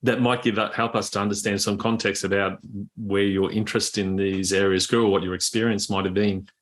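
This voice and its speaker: A man's voice: lowish voice, flat delivery, brisk, fairly bright tone. A man co-hosting a podcast interview, asking a long question while hesitating and stumbling over words. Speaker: podcast co-host.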